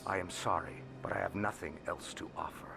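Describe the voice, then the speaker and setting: Low-pitched, courteous alien broker. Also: male voice, gravelly, noisy background. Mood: secretive, knowing. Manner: measured, formal, theatrical phrasing. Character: alien male information broker, shrewd, courteous, secretive financier. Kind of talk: video game character dialogue. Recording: music behind the voice.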